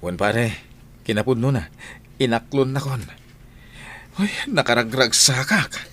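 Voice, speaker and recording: Actor as earnest young man in love, medium pitch, Ilocano accent, noisy background. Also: a little slow, pushed and bright. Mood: happy, smitten. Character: smitten young man, earnest son and boyfriend. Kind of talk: Filipino romantic audio drama acting.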